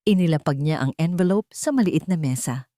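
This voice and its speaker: A woman's voice: low-pitched, animated, fairly quick, mellow, no room sound, clean studio sound. A woman reading a scripted dramatic story as its narrator.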